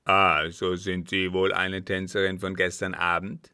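A man voicing a stern, suspicious army captain in an audiobook, gruff, haughty and accusing. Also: sits low, monotone, measured pace, pushed and bright, dry recording, clean studio sound. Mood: suspicious, scornful. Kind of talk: audiobook character voice.

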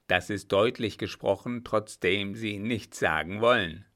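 A man voicing a grim, vengeful army captain who talks in a forceful, harsh, clipped way. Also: on the low side, unhurried.

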